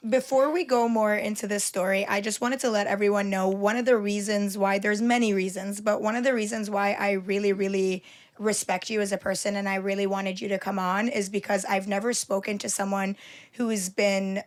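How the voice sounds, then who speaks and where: A woman's voice: medium pitch, level tone, fairly quick. A woman hosting a podcast interview, speaking in a friendly, admiring way with many hesitations and "um" fillers. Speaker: podcast host. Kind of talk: casual interview.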